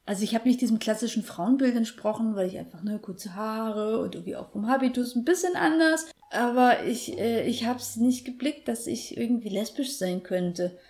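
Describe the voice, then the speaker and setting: A middle-aged woman with a slightly high voice co-hosts a relaxed podcast. She talks thoughtfully and hesitantly, with fillers and pauses as she tells her own story. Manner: reflective, hesitant with many fillers. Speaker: podcast co-host. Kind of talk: casual chat.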